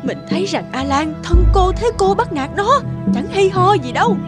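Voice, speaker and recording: A woman's voice: extremely high pitch, expressive, normal speed, over music. A woman playing a snobbish, fretful landowner's wife, disdainful and exclamatory, in a fairy-tale cartoon dub. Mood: worried, scheming.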